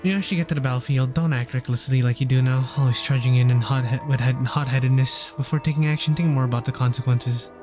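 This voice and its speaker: A male game streamer reads story text aloud in an earnest way. His pitch is average for a man, and he sometimes stumbles over a word. Faint game music plays behind him. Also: steady tone, quick pace, few pauses. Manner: earnest, stumbles over words while reading.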